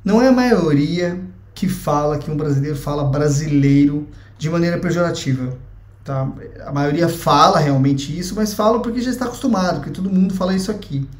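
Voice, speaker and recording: A man's voice: a little high, fairly quick. A young Brazilian man with a Brazilian Portuguese accent, giving his opinion in a blunt, chatty vlog and swearing freely. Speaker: vlogger. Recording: echoey room.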